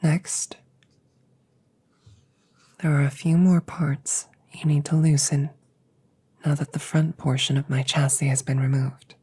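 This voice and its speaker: A woman voicing a gentle, protective damaged android soldier in a scripted ASMR roleplay, speaking breathily, often in a whisper, with slow, careful, formal phrasing. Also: low-pitched, level tone, breathy edge, full character voice. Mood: calm, reassuring.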